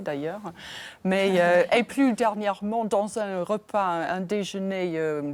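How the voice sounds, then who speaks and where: A woman's voice: fairly low pitch, a little mellow. A woman guest on a TV debate telling a personal anecdote with a wry edge.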